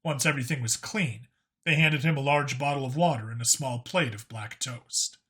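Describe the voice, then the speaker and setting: A man's voice: mid-range voice, normal speed, fairly bright tone. A man reading scripted science fiction narration as an audiobook narrator.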